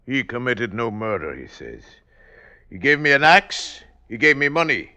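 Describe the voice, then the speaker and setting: A man's voice: medium pitch, sing-song, moderate pace, pushed and bright. An actor playing a defiant accused man shifting blame in court, emphatic, in an old radio drama. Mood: defiant, accusing. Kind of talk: 1950s radio drama acting.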